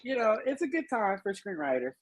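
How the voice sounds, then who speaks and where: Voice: medium pitch, sing-song, a little fast, no room sound. A podcast guest chatting casually, with a jokey, self-deprecating manner. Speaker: podcast guest, writers' club co-founder. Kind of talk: casual chat.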